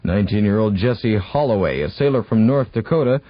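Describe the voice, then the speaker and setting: A man's voice: on the low side, expressive, fairly quick, no room sound. Dry, muffled male radio newsreader. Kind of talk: scripted local news report. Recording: dull, dry vintage radio broadcast.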